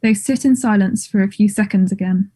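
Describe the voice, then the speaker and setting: A woman's voice: mid-range voice, a little fast, warm tone, breathy edge. A woman reading stage directions as scripted narration for a play, neutral and matter-of-fact.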